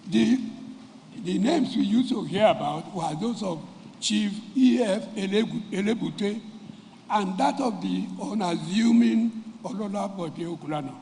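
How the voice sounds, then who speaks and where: A Nigerian man giving a formal prepared speech at a symposium, measured and deliberate, losing his voice and clearing his throat. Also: high-pitched, warm tone, slight background noise. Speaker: symposium speaker. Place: event hall. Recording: reverberant hall, faint ambience.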